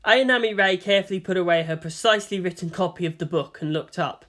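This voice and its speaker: A man reading fan fiction aloud as an expressive audiobook narrator. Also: high voice, fast talker.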